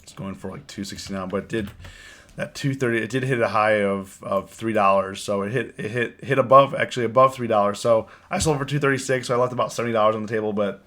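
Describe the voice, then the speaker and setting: A man with a cold and a hoarse voice, hosting a casual live stream and chatting with his viewers, often repeating his words. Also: fairly low pitch, fast talker, pushed and bright. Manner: rambling, repeats words, reads chat aloud. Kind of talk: casual live stream chat about trading.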